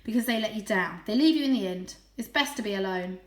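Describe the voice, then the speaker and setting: A woman's voice: medium pitch, quick pace, reverberant, natural voice. A woman voicing a guarded female street cat in a storybook, with a curt, scornful and snappish manner. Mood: bitter, guarded.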